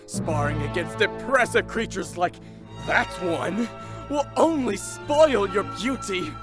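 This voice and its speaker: A man's voice: sits high, expressive, takes it slowly, over music. A man playing a smug, insincere noble suitor in an audio drama, pompous and flowery in his speech. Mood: pompous pleading. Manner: pompous, flowery, over-polite. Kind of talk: audio drama character acting.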